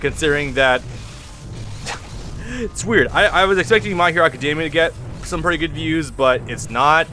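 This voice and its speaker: Loud, bright-voiced man rambling over gameplay. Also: fairly high pitch, slight background noise. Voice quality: loud, projecting voice. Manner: rambling and frank, swears freely. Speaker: let's play host. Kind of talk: casual gameplay commentary. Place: at home at his computer, thin walls. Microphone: computer recording mic.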